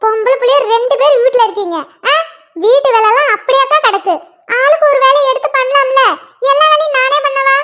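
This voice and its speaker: Performer putting on a scolding mother, shrill, nagging, very high, lo-fi sound. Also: steady tone, brisk, bright, forceful tone. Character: scolding village mother of two daughters. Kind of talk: one-person comedy skit. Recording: dull lo-fi recording.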